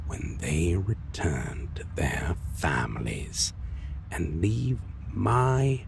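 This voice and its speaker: A man playing a taunting, menacing vampire in a dark fantasy audio roleplay, speaking deliberately and mockingly, at times in breathy whispers. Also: background noise, exaggerated voice. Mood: scornful. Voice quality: gravelly, low. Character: taunting, menacing male vampire, a lost love turned monster.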